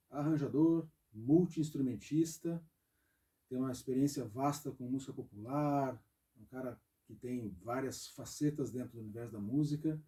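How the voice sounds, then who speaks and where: A man hosting an interview in a music studio, speaking in a measured way. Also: mid-range voice, dark tone, recorded from a distance. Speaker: interview host, music professor.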